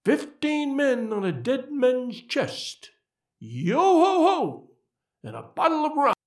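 A man voicing an eerie unseen spirit in a storybook, with a thin, trembling, drawn-out wail. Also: very high-pitched, a bit bright, pitched above the performer's own voice, full character voice. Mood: eerie singing. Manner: drawn-out wailing. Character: ghostly unseen wailer singing and hailing from afar.

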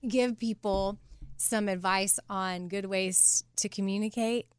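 A woman's voice: medium pitch, fairly even intonation, takes it slowly, smooth. A woman hosting a casual interview, encouraging and a little tentative in how she puts questions. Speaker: podcast host interviewing a guest.

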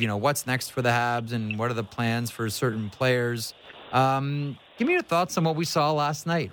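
A man's voice: medium pitch, a bit bright, smooth. A man hosting a sports radio show in a chummy, conversational way. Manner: chummy, calls guests pal.

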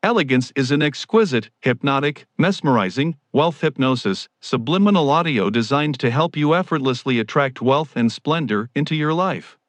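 A man reads scripted promotional copy in a medium-pitched voice. His delivery is formal and grandiose, like a salesman presenting his own products. The sound is dry, with no room around the voice. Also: expressive, moderate pace, hardly any pauses, dry recording.

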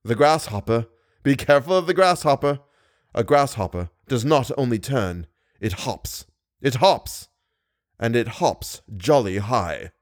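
A man voicing a mad masked villain in an audiobook, taunting and menacing in a deliberate way. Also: mid-range voice, animated, no echo, clean studio sound, close to an everyday voice. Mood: gleeful taunting. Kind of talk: dramatic audiobook character voice.

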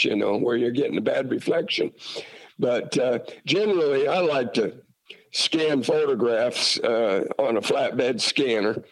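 A man's voice: a little high, poor audio. A man from Utah talking casually about family history, folksy and rambling with many fillers and restarts. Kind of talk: casual conversation.

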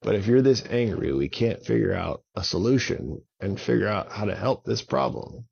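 A man's voice: lowish voice, animated, normal speed, gravelly, a little mellow, slightly rough, muffled recording, full character voice. A man voicing a kind, helpful young hero in a children's story, earnest and encouraging. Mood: reassuring. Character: kind, helpful young male hero calming his friend.

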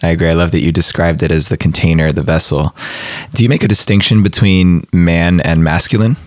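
A podcast host with a very low, hoarse voice and a raspy edge. He talks in a reflective, deliberate way, and the sound is dry and close. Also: steady tone, no room sound. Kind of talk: podcast interview.

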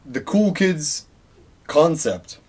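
A man's voice: fairly high pitch, very animated, extremely slow, darkish tone, husky. A man co-hosting a podcast in casual, digressive chat, swearing offhandedly as he rambles. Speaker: podcast co-host.

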